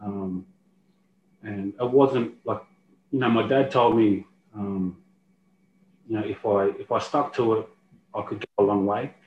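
A soft-spoken Australian man talks slowly about his life, pausing often to gather his words. His voice sits a little low and comes through a roomy, slightly muffled recording with faint hiss behind him. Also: fairly even intonation. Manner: soft-spoken, hesitant, many ums. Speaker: rugby league player, guest. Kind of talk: casual interview chat.

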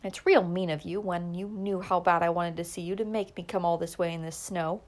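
A woman's voice: fairly low pitch, steady tone, fairly quick, lightly acted. A woman voicing a determined, persistent young woman who talks in an earnest, coaxing way.

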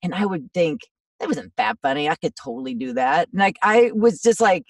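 A woman in her fifties with a low, raspy, gravelly voice talks loosely and at length, laughing at herself as she goes. She sounds a little back from the microphone in a dry, dead room. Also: expressive, fairly quick, no echo, recorded from a distance. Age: 50s. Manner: chatty, rambling, self-deprecating. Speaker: voiceover actor guest. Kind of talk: casual podcast interview.

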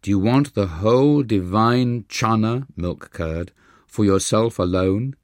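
British man reading as a grave, low-voiced guru. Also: dry recording, studio quality, close to an everyday voice. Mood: stern, admonishing. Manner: grave, admonishing, deliberate. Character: stern, wise Indian male guru teaching his disciple.

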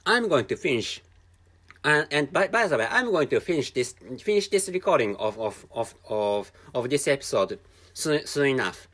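A man's voice: mid-range voice, sing-song, a bit bright. A man with a Japanese accent, a native Japanese speaker, talking alone on his own podcast and repeating words as he searches for them. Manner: halting, many restarts and repeated words. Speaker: podcast host. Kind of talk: casual solo podcast monologue. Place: at home, drinking a canned drink while recording.

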